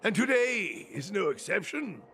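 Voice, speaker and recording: A man voicing a stubborn, dutiful old master craftsman in a video game, speaking formally and firmly with a creaky voice. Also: high-pitched, sing-song, a little slow, pushed and bright, faint music behind, heavily acted. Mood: resolute, stoic. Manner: formal, firm, resolute.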